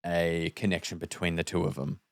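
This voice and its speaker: A man with a low, smooth and resonant voice in a dry recording. He talks casually through a script, explaining his choices and slipping into quick imitations of the characters' lines as he goes. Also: level tone, dry recording. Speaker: podcast guest reading and breaking down scripts. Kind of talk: casual script discussion with acted-out example lines.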